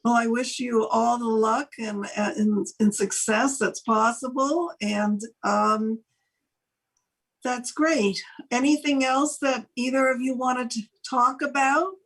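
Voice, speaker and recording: A woman's voice: fairly high pitch, steady tone, slow, fairly bright tone, low-quality recording. An older woman speaking casually as the host of a talk show, interviewing a guest.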